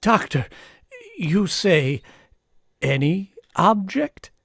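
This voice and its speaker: A man acting a megalomaniac villain posing as a professor in an old-time radio play, speaking in a deliberate, grandiose, theatrical manner. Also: sits high, lively intonation, gravelly, a bit bright, dry recording, studio quality, heavily acted. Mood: feigned awe.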